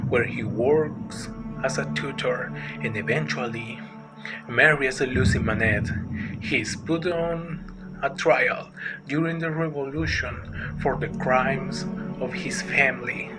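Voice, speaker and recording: Slow-paced man narrating over loud music. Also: mid-range voice, rough recording.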